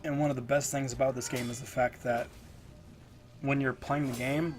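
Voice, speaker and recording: Stuffy-nosed guy talking over gameplay, casual ramble, mid voice, loud game music under him. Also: medium pitch, level tone, moderate pace. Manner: rambling, lots of fillers. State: stuffed up, congested. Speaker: game reviewer. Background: game footage audio and music.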